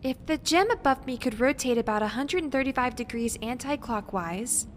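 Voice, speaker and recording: Woman playing a high-voiced, earnest young researcher. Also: expressive, moderate pace. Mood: absorbed, curious. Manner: earnest, precise, hesitant when unsure. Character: careful, bookish young woman researcher of ancient ruins. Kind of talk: audio drama acting. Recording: faint background noise.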